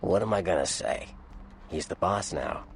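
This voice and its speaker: An actor voicing a cynical, sly Nosferatu vampire informant in a video game, with a gravelly American voice and a dry, sardonic manner. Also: male voice, low voice, lively intonation, moderate pace, slight background noise. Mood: guarded. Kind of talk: video game character dialogue.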